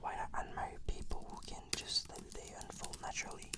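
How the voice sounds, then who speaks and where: A woman's voice: quick pace, sharp tone, dry recording. A woman whispering breathily in a composed, reflective way, giving scripted answers as a client in an ASMR roleplay.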